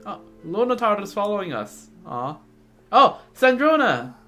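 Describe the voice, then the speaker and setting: A man doing casual live game commentary as a streamer, rambling and halting as he repeats words. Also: high voice, sing-song, sharp tone. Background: game music.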